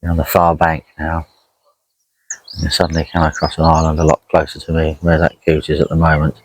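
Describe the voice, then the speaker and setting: A man's voice: extremely low pitch, completely flat delivery, brisk, slight background noise, poor audio. A man chatting casually and unhurriedly as he narrates an outdoor fishing vlog by a lakeside, rambling as he goes. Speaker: angler vlogging a fishing trip.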